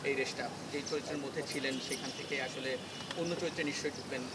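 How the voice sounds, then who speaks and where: A man's voice: medium pitch, steady tone, rapid-fire. A man with a Bangladeshi accent, a reporter putting questions to an actor. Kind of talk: press questioning. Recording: distant voice in loud outdoor noise.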